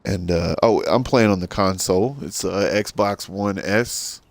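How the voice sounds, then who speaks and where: A middle-aged man with a rough smoker's voice, giving easygoing, rambling commentary to viewers while playing a farming game. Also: sits low, a little slow, no room sound. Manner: rambling, easygoing, self-deprecating. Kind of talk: casual gameplay commentary to viewers.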